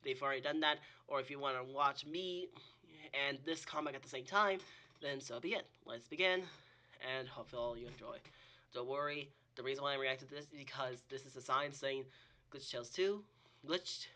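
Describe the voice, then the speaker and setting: Husky, very bright-voiced man reacting casually. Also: mid-range voice, fairly quick. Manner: rambling, exclamatory. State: sick with a cold or similar illness. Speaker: host of a reaction channel.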